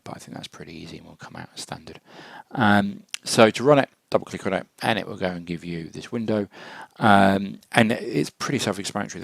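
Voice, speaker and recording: Deep, gravelly man explaining software. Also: no echo. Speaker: software tutorial presenter. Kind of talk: informal software walkthrough narration. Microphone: close computer microphone, screen-recording voice-over.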